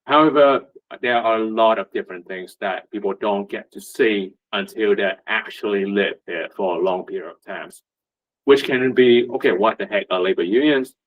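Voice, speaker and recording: A man's voice: on the low side, moderate pace. A man from Vietnam, giving a conversational talk at a meetup as a union representative, full of rhetorical questions and fillers. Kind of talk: presentation at a meetup. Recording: muffled lo-fi recording.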